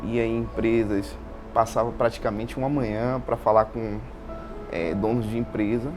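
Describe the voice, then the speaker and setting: A man's voice: lowish voice, steady tone, moderate pace. A man with a Bahian Brazilian accent telling his own story informally as an interview guest, repeating phrases and often adding tag questions. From Salvador, Bahia, Brazil. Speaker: interview guest, installation business owner. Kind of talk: informal personal account. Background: background music.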